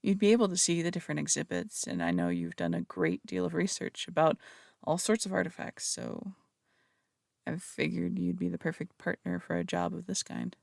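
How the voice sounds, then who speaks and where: A very creaky, dry, close female voice acted by a woman plays a flirtatious phantom thief in an audio roleplay. She speaks in theatrical, polished phrases, teasing and reassuring her listener, with small hesitant stammers. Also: fairly low pitch, lively intonation, normal speed, a little mellow, dry recording. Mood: coaxing.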